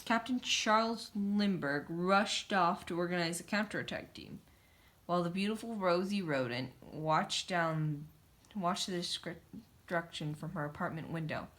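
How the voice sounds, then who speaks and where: A woman's voice: lowish voice, unhurried, a bit bright. A woman reading a children's picture book aloud, narrating in a theatrical, emphatic way. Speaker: storybook reader. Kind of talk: dramatic children's story narration.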